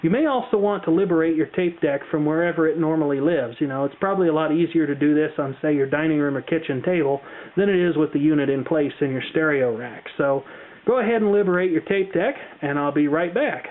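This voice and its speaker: A phone-like, narrow recording of an American man with a fairly high-pitched voice. He talks through a how-to demonstration casually, chatting as he goes and carefully explaining each step. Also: quick pace. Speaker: tutorial presenter. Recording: thin, phone-like band-limited sound.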